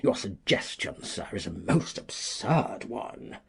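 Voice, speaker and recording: Gravelly, pompous old gentleman. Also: very deep voice, sing-song, pitched below the performer's own voice, heavily acted. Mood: scornful, pompous. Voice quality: gravelly, husky, breathy. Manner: pompous, emphatic, deliberate. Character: cantankerous, self-important old gentleman with a grievance. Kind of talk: audiobook character reading.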